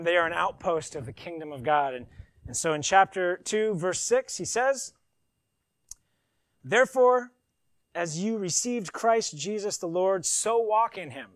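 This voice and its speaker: A man's voice: sits high, dry recording. A man preaching a sermon, reading scripture with emphasis and breaking into conversational asides. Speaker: pastor.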